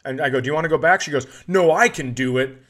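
A man's voice: on the high side, fast talker, fairly bright tone. Man telling a profane, comedic story. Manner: profane, exasperated retelling with acted-out dialogue. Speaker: podcast host.

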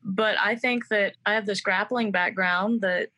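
A woman's voice: mid-range voice, steady tone, unhurried, pushed and bright. A woman in her twenties answering interview questions in a chatty, confident way, with many fillers. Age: 20s. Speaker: mixed martial arts fighter and student.

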